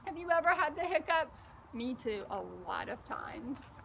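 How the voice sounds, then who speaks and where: A woman storyteller reading a picture book aloud, gently encouraging young children and asking them questions directly. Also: high voice, very animated, unhurried, creaky, a little hiss or hum.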